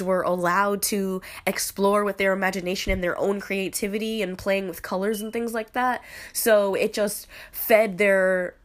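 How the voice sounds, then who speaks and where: A woman in her late twenties with a slightly nasal voice from a cold, talking through her opinions in a loose, rambling monologue. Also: medium pitch. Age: 20s. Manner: rambling, with many fillers and restarts. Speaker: podcast host.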